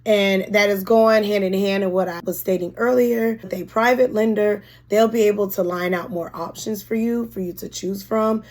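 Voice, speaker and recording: A hoarse woman working as a real estate agent, explaining home financing in a casual, chatty video with frequent ums and restarts. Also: mid-range voice, fairly even intonation, normal speed, few pauses, darkish tone.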